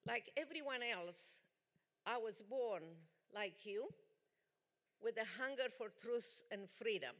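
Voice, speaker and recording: Slow-speaking woman with a Romanian accent. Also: fairly high pitch, lively intonation, fairly bright tone. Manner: earnest, deliberate, emphatic. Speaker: guest speaker. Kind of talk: personal testimony talk. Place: church. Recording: long church hall reverb.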